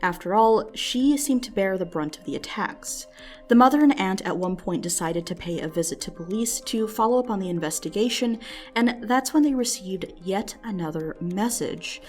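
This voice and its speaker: A woman narrating a scripted true-mystery video as its host, in a measured storytelling manner with dramatic emphasis, close on the mic. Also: mid-range voice, a little mellow.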